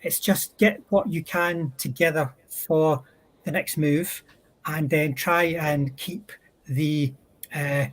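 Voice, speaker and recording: A man on a discussion panel explaining military history in a thoughtful, halting way, stretching out words. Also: a little high. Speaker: panel speaker.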